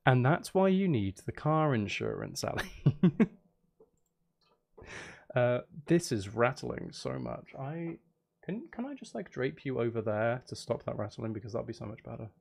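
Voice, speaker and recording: A man's voice: medium pitch, big pitch swings, unhurried, creaky. A man chatting casually to viewers on a livestream, rambling playfully, cutting himself off and repeating phrases. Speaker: live streamer, music and game maker. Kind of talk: casual livestream chat with viewers while working.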